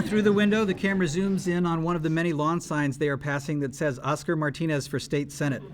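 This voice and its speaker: A man's voice: a little high, fairly even intonation, fairly quick, crowd noise. A man narrating scripted stage directions at a live table read.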